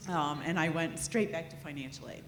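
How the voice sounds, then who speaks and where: A woman's voice: low-pitched, brisk. A woman in her fifties with a strong Midwestern accent, introducing herself chattily as a financial aid trainer. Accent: Midwestern American. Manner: chatty, self-deprecating. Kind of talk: self-introduction at a conference. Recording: echoey conference hall with noise.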